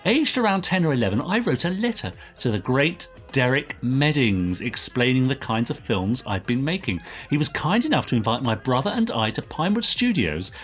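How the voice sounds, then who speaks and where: British guy on a podcast, really lively up and down delivery, reading out messages, bit of music under him. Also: medium pitch, moderate pace, hardly any pauses. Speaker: podcast co-host. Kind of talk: casual podcast chat, reading out listener messages.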